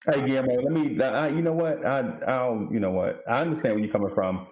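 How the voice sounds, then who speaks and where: A man's voice: mid-range voice, fast talker, a little mellow. A man giving blunt, mocking, slangy commentary as a music review panelist, in a roomy, echoing recording.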